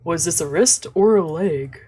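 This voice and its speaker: A woman's voice: lowish voice, a little slow, mellow, breathy edge. A young woman doing casual let's play commentary and reading a visual novel aloud, with slangy, sweary talk. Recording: music behind the voice.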